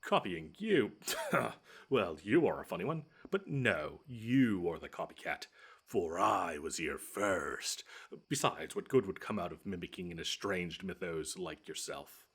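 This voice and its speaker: Man acting a deep-voiced, haughty bedside monster. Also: lively intonation, measured pace, a bit bright, breathy edge, heavily acted. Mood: boastful, taunting. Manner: theatrical, taunting, haughty. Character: smug, menacing, easily flustered monster from under the bed. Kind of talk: scripted one-sided audio roleplay.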